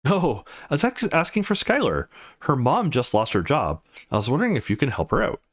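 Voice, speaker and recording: A man's voice: a little high, wildly swooping pitch, fairly quick, pitched above the performer's own voice, heavily acted. A man voicing an awkward, guarded eighteen-year-old male student from a visual novel, earnest and a little hesitant.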